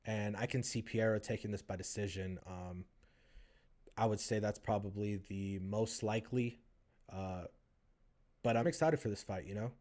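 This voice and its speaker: A man's voice: fairly low pitch, fairly even intonation, moderate pace, a little mellow. A man co-hosting a podcast, giving a casual fight breakdown and chatting with a guest. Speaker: podcast co-host. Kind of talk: casual MMA breakdown and interviewing.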